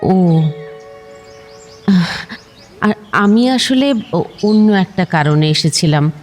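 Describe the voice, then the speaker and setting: Middle-aged auntie next door, polite n hesitant, slow w/ swoopy intonation, audio drama. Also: female voice, lowish voice, lively intonation, darkish tone, faint music behind. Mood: hesitant, tactful. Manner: halting, hesitant. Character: hesitant middle-aged neighbour woman, groom's mother, polite, probing.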